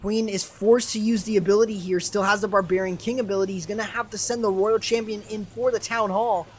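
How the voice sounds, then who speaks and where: A young man commenting live on a video game match, excitable and quick to burst into exclamations, his voice strained and starting to go hoarse. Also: high-pitched. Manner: excitable, rising to sudden exclamations. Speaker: esports commentator and streamer.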